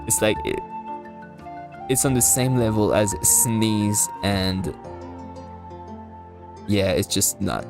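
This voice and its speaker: A woman's voice: rumbling low, slow pace, pauses often, over music. A woman game streamer giving casual live commentary as she reads through a story game. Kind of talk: live game commentary and reading dialogue aloud.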